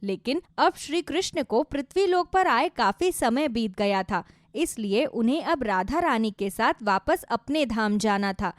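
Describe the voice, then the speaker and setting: High, animated woman storyteller. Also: measured pace, few pauses, no echo, clean studio sound. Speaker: narrator. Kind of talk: scripted children's story narration.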